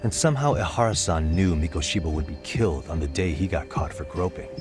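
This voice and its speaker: A man playing a calm but insistent investigator in a dramatic game cutscene, pressing for answers in a serious, deliberate way. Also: sits low, natural voice. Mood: grave. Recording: dramatic music behind.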